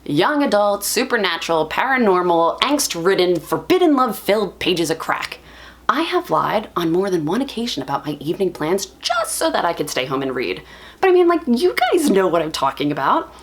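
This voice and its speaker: A woman performing a dramatic monologue as a lovelorn young bookworm, confessional and self-deprecating, speaking with earnest emphasis. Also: fairly low pitch. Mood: passionate, earnest. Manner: earnest, emphatic, halting with feeling.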